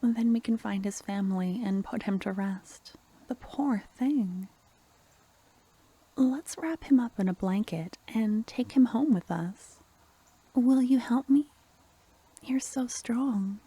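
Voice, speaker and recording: A woman playing a sweet, caring court necromancer in a softly spoken, half-whispered ASMR fantasy roleplay, talking intimately and affectionately to the listener. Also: a little high, takes it slowly, dry recording, full character voice. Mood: sorrowful, tender. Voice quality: soft, breathy, half-whispered. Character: sweet, caring young female court necromancer, doting and anxious.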